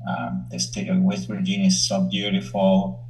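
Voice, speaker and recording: Male guest in his 40s, Salvadoran Spanish accent, deep and measured. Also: completely flat delivery, slight background noise, low-quality recording. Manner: measured, searches for words. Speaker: university professor, guest. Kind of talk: interview answers.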